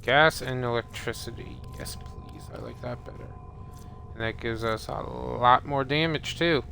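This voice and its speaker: A man's voice: mid-range voice, measured pace, gravelly. A man streaming a video game, thinking aloud casually and muttering to himself as he reads from the screen. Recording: steady loud background noise.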